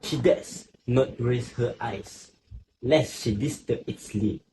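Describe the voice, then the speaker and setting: A man's voice: medium pitch, slow, warm tone, close to an everyday voice, low-quality recording. A man playing a grave, watchful witness in a literary stage play. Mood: quiet, watchful. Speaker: student actor. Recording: faint background noise.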